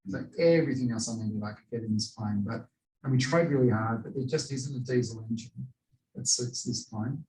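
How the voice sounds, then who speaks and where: A man with an Australian accent, a pilot answering audience questions in a relaxed, chatty way full of "you know". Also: medium pitch, fairly quick, recorded from a distance. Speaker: pilot speaking to a historical society. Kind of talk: casual question-and-answer talk. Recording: roomy echo, voice away from the mic.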